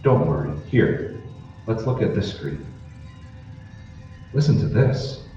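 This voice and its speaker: A man's voice: on the low side, a little mellow. A man playing an earnest, determined radio-drama hero who uncovers a conspiracy, speaking with urgent emphasis. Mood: intent. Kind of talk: old-time radio drama acting. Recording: echoey hall, distant, muffled, noisy.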